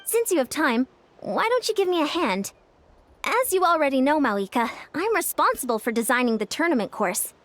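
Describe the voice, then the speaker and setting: A woman's voice: high voice, big pitch swings, fairly quick. A woman playing a confident, easygoing young female coach in a video game, with upbeat delivery. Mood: friendly, upbeat. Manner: upbeat, easygoing, self-assured. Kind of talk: English video game voice acting.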